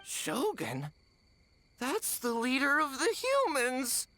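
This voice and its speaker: A timid little tanuki creature, high and childlike, swinging widely in pitch. It whines and stammers in drawn-out, dramatic cartoon game fashion. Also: female voice, sing-song, bright, forceful tone, a little hiss or hum, heavily acted. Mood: fearful. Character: small, timid, whiny childlike tanuki yokai. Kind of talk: cartoonish video game voice acting.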